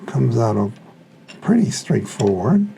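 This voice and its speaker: A man talks slowly and tentatively, musing to himself in a casual unboxing commentary. His voice is mellow with plenty of fry, and there is some background noise. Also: medium pitch, big pitch swings. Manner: musing, thinking aloud, tentative. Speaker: hobbyist product reviewer.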